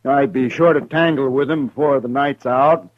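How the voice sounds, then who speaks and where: A man's voice: medium pitch, steady tone, moderate pace, close to an everyday voice. A man playing a wry, drawling gunslinger. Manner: drawling, wry tall-tale talker. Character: grown male drifter gunman, wry and loyal. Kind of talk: 1950s radio western acting. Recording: dull old radio broadcast.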